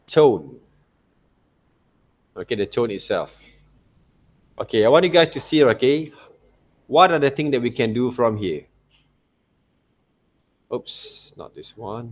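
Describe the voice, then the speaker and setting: Man, lowish voice, digital art teacher, informal step-by-step demo, thinking aloud, frequent okay and right check-ins. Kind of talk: informal software tutorial demonstration.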